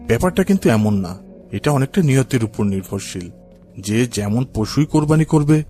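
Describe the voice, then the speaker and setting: A man's voice: medium pitch, darkish tone, lightly acted. A man playing a kind, patient father in a children's cartoon, explaining things in a reassuring manner. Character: kind, patient father teaching his son.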